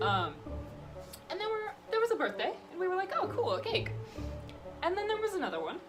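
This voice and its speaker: A woman's voice: very high voice, huge pitch swings, fairly quick, over music. A young woman vlogger telling personal stories in a casual, chatty, playful way, recapping details with mock seriousness. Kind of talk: casual chatty storytelling with a friend.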